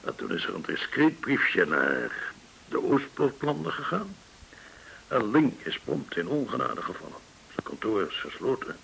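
An actor playing a courteous, shrewd, well-connected informant in a Dutch radio drama, speaking in a formal, deliberate way. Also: male voice, lowish voice, huge pitch swings, vocal fry, fairly bright tone, heavily acted, rough recording. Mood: measured explaining. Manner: formal, measured, deliberate explaining. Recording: hissy old radio recording.

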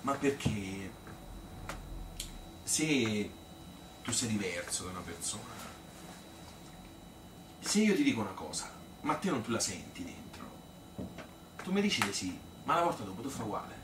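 A man's voice: lowish voice, very animated, unhurried, long pauses. Man playing a rambling Tuscan mother, roomy and distant. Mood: matter-of-fact, resigned. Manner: rambling, colloquial, hesitant, self-questioning. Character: plain-spoken Tuscan mother reminiscing about marriage and pregnancy. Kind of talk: conversational theatrical monologue. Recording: roomy, distant stage recording with noise.

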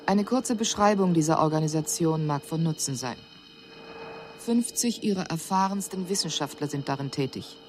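An actress playing a female alien emissary, giving a measured, formal and precise report in a radio drama. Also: low-pitched, animated, a little mellow, slight background noise. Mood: cool, concerned. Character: female alien emissary, cool observer puzzled by human inaction.

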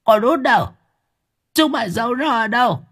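A man's voice: very high voice, animated, moderate pace, fairly bright tone, breathy edge, pitched above the performer's own voice, heavily acted. A man voicing a ravenous, possessed old grandmother who screeches curses and snarling threats at her family. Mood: furious.

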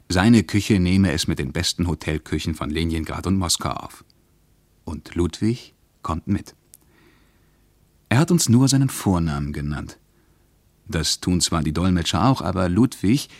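A very deep-voiced male traveller recounts his journey from a diary in a radio play. He is reflective and deliberate, recorded dry and close. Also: no room sound.

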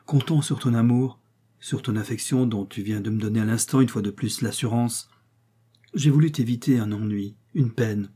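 A man's voice: medium pitch, normal speed, dark tone, breathy edge, lightly acted. A man playing a desperate middle-aged husband, ruined and guilty, speaking in agitated despair.

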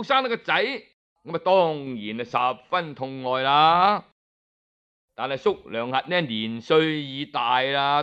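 Animated, high-pitched Cantonese male storyteller. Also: highs cut off. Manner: conversational, explanatory, with drawn-out phrase-end particles. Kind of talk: Cantonese oral storytelling, scripted yet colloquial narration.

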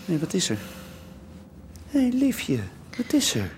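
An actor playing an excitable young squatter, son of a gangster, in a radio play. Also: male voice, high voice, unhurried, slight background noise, exaggerated voice.

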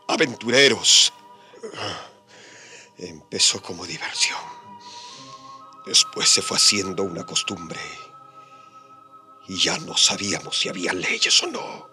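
An actor playing a gravely wounded, repentant conquistador soldier in a radio drama, with halting, labored speech. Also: male voice, low-pitched, bright, forceful tone, exaggerated voice. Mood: tormented, remorseful. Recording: radio drama with music behind.

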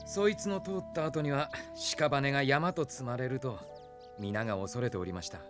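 A man playing a brisk, cheeky young knight in a Japanese radio drama, with expressive soldierly speech. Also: mid-range voice, music in the background, natural voice. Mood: grave, reporting.

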